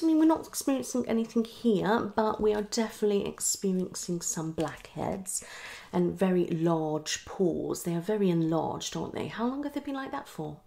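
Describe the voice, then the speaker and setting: A woman in her thirties doing an ASMR roleplay as a dermatologist, slipping between whispers and soft speech with reassuring clinical patter. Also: fairly low pitch, vocal fry, a little hiss or hum. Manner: hasty, reassuring clinical patter addressed to a patient. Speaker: ASMR performer playing a dermatologist. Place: small living room at home, indoors.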